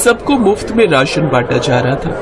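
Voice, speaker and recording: A woman reading a scripted moral story as an expressive narrator. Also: low voice, normal speed, a loud crowd, heavy background noise.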